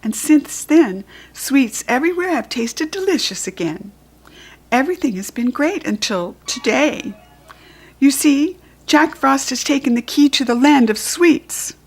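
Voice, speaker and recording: A woman voicing a cheerful little female toy fairy, speaking brightly and eagerly. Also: high voice, lively intonation, unhurried, pitched above the performer's own voice.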